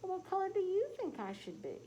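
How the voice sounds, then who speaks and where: A woman's voice: extremely high pitch, wildly swooping pitch, brisk, mellow, muffled recording, slight background noise, pitched above the performer's own voice, full character voice. A woman voicing an eager but unsure young male turkey, polite and earnest in a storybook reading. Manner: polite and earnest, a bit timid.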